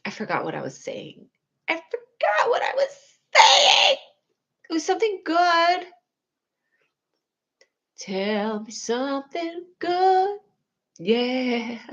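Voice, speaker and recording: Young woman, whiny needy voice, slightly high pitch, rambling casual livestream chat, self-deprecating, scatterbrained. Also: muffled recording.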